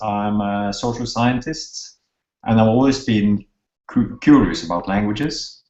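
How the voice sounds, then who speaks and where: A man from Norway with a Norwegian accent, a student casually introducing himself in a halting, self-correcting way over a video call. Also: fairly low pitch, a little mellow. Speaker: online course student, social scientist. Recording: echoey, muffled lo-fi video call.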